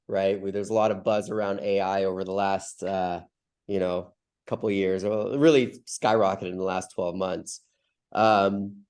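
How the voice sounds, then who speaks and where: A man with a cold, his voice stuffy and mellow, talks through big ideas on a video call. He rambles loosely, doubles back on himself and keeps checking in with "right?". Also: on the low side. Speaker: community call host. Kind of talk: casual explanatory talk on a video call.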